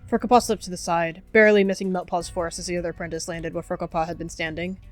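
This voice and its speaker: A woman's voice: on the low side, level tone, fast, faint music behind. A woman narrating characters' actions in a descriptive roleplay game.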